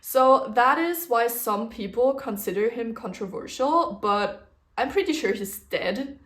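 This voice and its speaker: Young woman, German accent, slow chatty podcast talk, fairly high voice. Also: animated, fairly bright tone. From German-speaking region. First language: a German dialect. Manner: chatty, rambling, with fillers and small laughs. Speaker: podcast host. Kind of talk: casual solo podcast chat.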